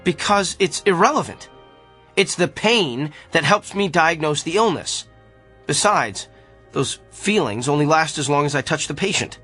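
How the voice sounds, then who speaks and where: A man's voice: on the high side, animated, measured pace, pushed and bright, husky, faint music behind, full character voice. An actor playing a pompous, defensive male doctor with an inflated view of his gift, in a radio drama. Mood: defensive, grandiose. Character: arrogant, self-important gifted male doctor.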